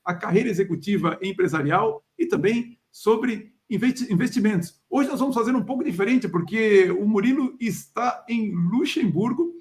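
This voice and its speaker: A male podcast host and career coach, interviewing a guest in a chatty way with many restarts and affirmations. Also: high-pitched. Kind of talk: conversational interview.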